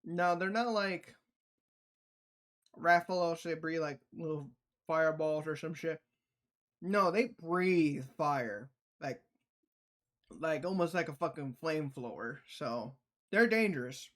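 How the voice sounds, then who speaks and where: A man livestreaming casual reaction commentary, talking in a slangy, profane, animated storytelling way. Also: on the high side, takes it slowly, pauses often.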